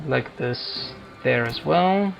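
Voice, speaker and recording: A man's voice: mid-range voice, expressive, slow pace, gravelly, dull audio. A man giving casual gameplay commentary as a let's play host, thinking aloud as he goes. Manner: thinking aloud, muttering to himself. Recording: game music loud behind voice. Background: video game music.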